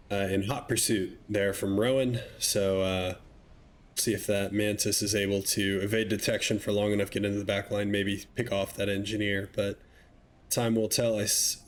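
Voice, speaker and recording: A man with a stuffy, slightly nasal voice casting a strategy game match with relaxed, chatty commentary and wry asides. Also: lowish voice, a little hiss or hum. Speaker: game caster.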